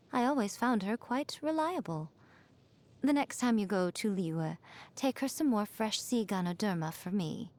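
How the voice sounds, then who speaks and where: A woman voicing a sly, elegant fox shrine maiden for a video game, poised and coy, with a teasing, wryly condescending manner and drawn-out phrasing. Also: medium pitch, a little mellow, close to an everyday voice. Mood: amused, musing. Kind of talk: scripted video game character voice lines.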